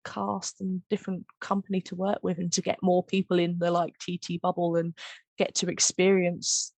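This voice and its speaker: A woman hosting a casual behind-the-scenes chat, gushing and giggly as she retells things in an animated way. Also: on the low side, normal speed, creaky, no echo. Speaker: podcast host and audio drama producer.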